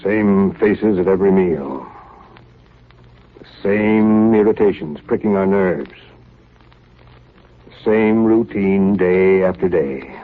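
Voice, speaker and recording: An actor playing a solemn, brooding captain of an icebound ship in a 1930s radio drama. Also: male voice, low voice. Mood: weary, brooding. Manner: solemn, measured, theatrical. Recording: tinny, muffled 1938 radio recording.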